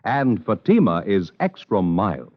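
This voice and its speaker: Male American ad announcer, vintage radio: emphatic salesman delivery, very animated melody, dull aged sound. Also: fairly low pitch, measured pace. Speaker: commercial pitchman. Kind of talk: 1950s radio advertising read. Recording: dull old radio recording.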